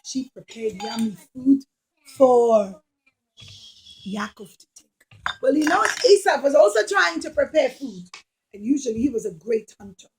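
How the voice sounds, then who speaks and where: A woman's voice: high voice, sing-song, a little slow, pauses often, a little mellow, slight background noise, low-quality recording. A woman telling a story to young children as a puppeteer, explaining and repeating, often checking understanding with little questions.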